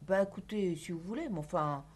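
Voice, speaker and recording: An actress playing a haughty, self-important private film teacher who talks down to her students in a husky voice. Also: fairly low pitch, a little fast, darkish tone, slight background noise, close to an everyday voice. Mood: lofty. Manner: condescending, lofty, dismissive. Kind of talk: film drama acting.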